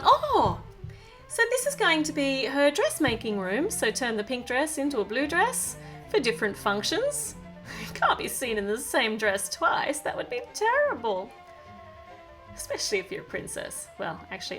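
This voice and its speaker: A woman with a high voice that rises and dips in an animated way. She narrates a toy build for children in a chatty, playful style, full of exclamations, with music behind her. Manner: talks to the viewer. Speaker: toy review presenter.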